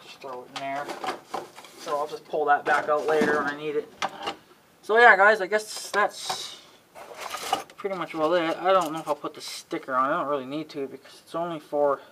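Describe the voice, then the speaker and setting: Higher-pitched man in noisy casual DIY vlog. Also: normal speed. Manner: offhand, folksy, thinking aloud while working. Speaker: amateur DIY video maker. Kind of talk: casual hands-on vlog commentary.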